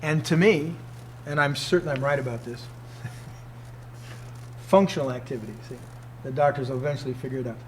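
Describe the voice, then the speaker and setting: A man's voice: medium pitch, moderate pace, slight background noise. A man in his sixties, a martial arts teacher, giving an informal talk to students, emphatic and fond of rhetorical questions. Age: 60s.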